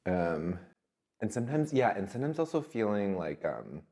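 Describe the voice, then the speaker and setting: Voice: sits low, very animated, normal speed, warm tone. A podcast host asking probing, empathetic follow-up questions in a casual interview.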